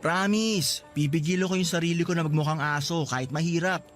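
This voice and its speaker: A man's voice: on the high side, fairly quick, soft background music, close to an everyday voice. A man playing a cheeky, friendly young co-worker who jokes at his own expense in radio drama dialogue. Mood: playful, caring. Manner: playful, teasing, animated. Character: cheeky, friendly male co-worker, self-mocking joker. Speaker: drama voice actor.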